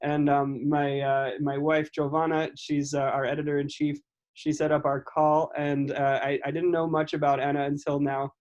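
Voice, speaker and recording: Guy doing a casual podcast interview, slightly high voice, keeps rephrasing, on a video call. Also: flat delivery, normal speed, darkish tone, muffled recording. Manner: rambling, repeats and rephrases mid-sentence. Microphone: video call microphone.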